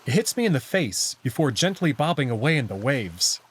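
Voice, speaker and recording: A man with a husky voice narrating a first-person visual novel story expressively. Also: mid-range voice, very animated, fairly quick.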